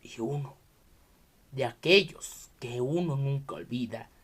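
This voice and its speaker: A man with a Mexican accent hosting an informal solo explainer podcast, halting and repetitive as he keeps restarting his sentences. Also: medium pitch, fairly bright tone, husky. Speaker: video podcast host.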